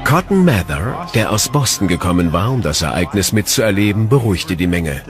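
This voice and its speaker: Low-voiced man explaining history. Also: sing-song, moderate pace, music in the background.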